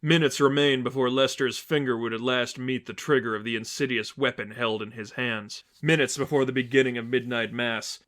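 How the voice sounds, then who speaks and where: A man's voice: medium pitch, fairly even intonation, normal speed, few pauses, fairly bright tone. A man reading fan fiction aloud as dramatic scripted narration.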